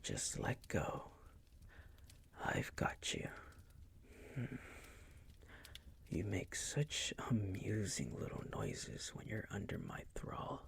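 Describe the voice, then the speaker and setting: A man playing a seductive, possessive vampire noble who dotes on his servant, in an intimate ASMR roleplay with a breathy, gravelly, crooning whisper. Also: low voice, huge pitch swings, full character voice. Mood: seductive, teasing. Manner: slow, crooning, teasing, possessive.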